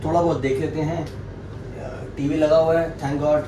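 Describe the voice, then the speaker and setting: A man's voice: a little high, little pitch movement, normal speed. Chatty young man vlogging on noisy roads. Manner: chatty, addresses viewers directly. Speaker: travel vlogger. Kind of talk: casual vlog narration. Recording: noisy road-trip background.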